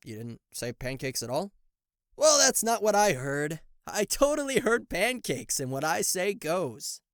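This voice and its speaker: A man performs a sarcastic, cocky devil for a roleplay audio. His pitch swings widely as he teases and blusters theatrically, tripping into stammers. The recording is dry, with no room sound. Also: big pitch swings, a little slow, a bit bright, no echo, exaggerated voice. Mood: playful, boastful. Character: cocky, sarcastic male devil, a fallen angel, secretly fond. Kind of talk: roleplay audio acting addressed to the listener.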